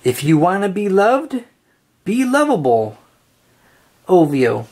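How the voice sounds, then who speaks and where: This is someone reading a picture book aloud for children. The voice is husky and moves up and down in a lively, sing-song way. The delivery is slow, with phrases stretched out for young listeners. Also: medium pitch. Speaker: storybook reader.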